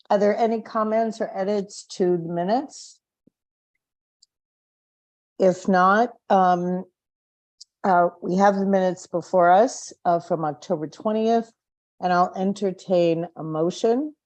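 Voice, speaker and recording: Slow, mellow-voiced woman chairing a meeting. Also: mid-range voice, steady tone. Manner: measured, slightly halting with fillers. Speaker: vice chair running a public commission meeting.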